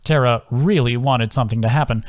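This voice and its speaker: A man's voice: medium pitch, fairly quick, no echo. Man narrating a fantasy audiobook, dry sound. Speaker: author reading own audiobook. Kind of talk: scripted fantasy story narration.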